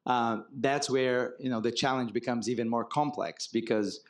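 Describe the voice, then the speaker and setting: A man from Brazil with a Brazilian accent, a marketing executive speaking as guest in an onstage fireside chat, relaxed and wry, explaining with examples. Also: medium pitch, moderate pace. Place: conference stage before an audience.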